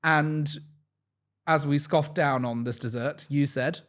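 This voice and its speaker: A young man with an English accent chats easily as a podcast co-host. He jokes at his own expense and keeps things light. His voice sits slightly higher than most men's. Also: steady tone, slow pace, a bit bright. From England. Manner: chatty, self-deprecating, jokey. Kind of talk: casual chat.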